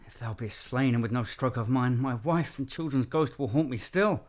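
An actor playing a fierce, grieving, vengeful warrior in a classical radio drama, declaiming impassioned verse. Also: male voice, medium pitch, moderate pace, close to an everyday voice.

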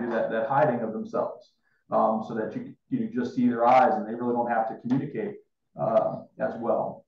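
A man in his thirties chatting casually as an interview host on a video call. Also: mid-range voice. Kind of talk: casual chat. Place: indoor room, on a video call. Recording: roomy, dull video call audio.

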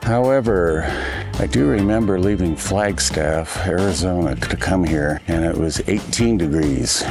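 Older male travel vlogger, raspy and gravelly, rambling narration outdoors. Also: unhurried. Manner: low-energy, rambling, thinking aloud. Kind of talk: casual hiking narration. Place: outdoors on a desert trail. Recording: music bed under the voice.

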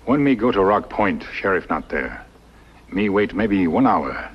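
A stoic, loyal western sidekick, talks in clipped broken English. The voice is low but moves widely in pitch. It comes through a muffled old television soundtrack. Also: male voice, big pitch swings, a little slow. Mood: grave. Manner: terse broken English, grave. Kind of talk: 1950s television western acting. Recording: muffled, noisy 1950s film soundtrack.

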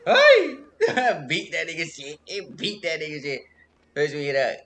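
Loud, lively young streamer trash-talking. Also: male voice, a little high, a little slow. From Texas. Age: young adult. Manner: loud, profane trash talk at the game. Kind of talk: live gameplay commentary. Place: indoor room at home. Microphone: headset.

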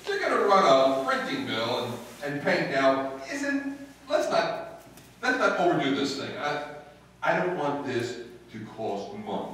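A man's voice: fairly high pitch, very animated, measured pace, fairly bright tone. A man playing a wry, exasperated, penny-pinching husband in an old-time radio comedy, given to stammering protests. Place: stage in a meeting hall before an audience. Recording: echoey hall, far from microphone.

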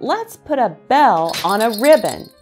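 Eager young boy mouse for a storybook played by a woman, pitched up, emphatic and lively. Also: unhurried, breathy edge, faint music behind, full character voice. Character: eager young male mouse with a clever plan.

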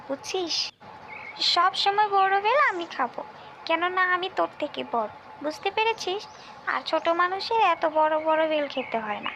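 A quarrelsome little girl from a cartoon village tale, acted by a woman. The voice is extremely high with a creaky edge, whiny and insistent. Clear noise sits behind it. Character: bossy, squabbling little village girl sisters. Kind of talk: cartoon folk-tale voice acting.

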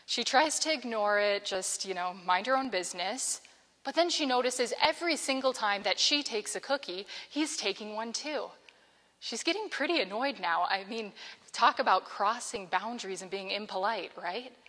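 A young woman vicar preaching a sermon in an earnest, measured way, on a lapel mic in a large church sanctuary. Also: medium pitch, expressive, fairly bright tone. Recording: long church reverb.

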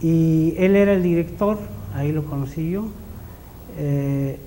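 Mexican guy in his middle years, soft mellow tone, bit of room noise, remembering stuff. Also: a little high, measured pace. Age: middle-aged. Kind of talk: reminiscing in a documentary interview. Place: indoor studio room at a drawing desk. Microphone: lapel mic.

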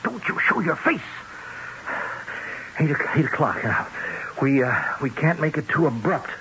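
An actor playing an anxious, fast-talking political fixer in a 1970s radio drama, urgent and placating, stumbling over words. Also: male voice, fairly high pitch, expressive, sharp tone, full character voice. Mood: urgent, flustered. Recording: dull, noisy old radio broadcast.

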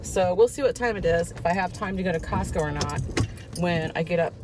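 A woman in her fifties rambles cheerfully to a dash-mounted phone while driving, laughing between asides. Her voice sits a little low and sounds slightly stuffy from a cold. Steady car and road noise runs clearly underneath. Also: fairly quick. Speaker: vlogger. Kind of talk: casual chatty vlog. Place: inside a parked and moving car. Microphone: phone camera on the dashboard. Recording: car noise audible behind voice.

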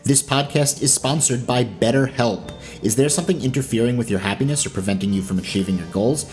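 A man's voice: mid-range voice, a little fast, darkish tone. A man giving a conversational sponsor read for a podcast. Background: music.

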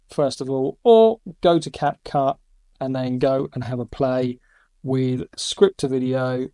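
A middle-aged man hosting a casual screen-recorded review, enthusiastic, with quick asides between demos. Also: medium pitch, mellow, no echo. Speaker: YouTube host reviewing AI voice tools.

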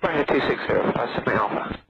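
A man's voice: medium pitch, animated, fast, vocal fry. A man speaking as an airline pilot, checking in and reading back over aviation radio. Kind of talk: radio check-ins and readbacks. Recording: muffled, noisy cockpit radio.

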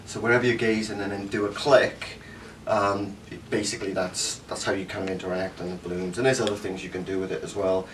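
A man's voice: sits low, steady tone, brisk, fairly bright tone. A man in his thirties with a Cardiff Welsh accent, casually reviewing a gadget at home, enthusiastic and rambling with many fillers. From Wales. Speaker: tech reviewer. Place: home living room. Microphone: camera mic from a few metres. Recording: distant camera mic, faint room noise.

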